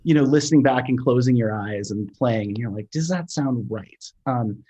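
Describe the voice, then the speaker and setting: A man in casual conversation, explaining his work in a halting way, thinking aloud and repeating words as he goes. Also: mid-range voice, warm tone, highs cut off. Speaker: sound designer, interview guest.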